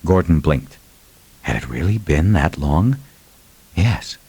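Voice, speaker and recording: Low-voiced male audiobook narrator. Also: expressive, takes it slowly, dark tone, breathy edge. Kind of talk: scripted dramatic fiction narration.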